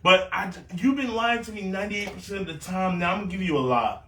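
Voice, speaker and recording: Host, man, 30s; animated storyteller with a bright tone, heard from a distance. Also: high voice, quick pace, slight background noise. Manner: animated storytelling, repeats phrases when talked over. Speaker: podcast host. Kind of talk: casual group chat. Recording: echoey room, mic at a distance.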